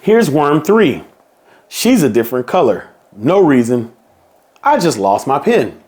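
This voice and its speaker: A man reading a children's picture book aloud in a slow, playful storybook manner. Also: medium pitch, big pitch swings, darkish tone.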